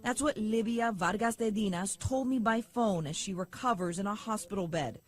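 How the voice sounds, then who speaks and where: A woman reporting a TV news story in measured, scripted delivery. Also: mid-range voice.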